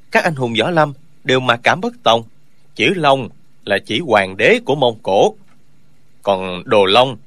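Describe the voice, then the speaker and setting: A man's voice: medium pitch, a bit bright, no echo. An actor voicing an upright young swordsman who speaks earnestly and lectures the old man in an audiobook. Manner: earnest, lecturing, sometimes mocking. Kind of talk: audiobook character dialogue.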